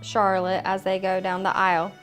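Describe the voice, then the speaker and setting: Sentimental bride narrating over soft music. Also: female voice, medium pitch, completely flat delivery, normal speed. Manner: warm, sentimental. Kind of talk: voice-over recollection.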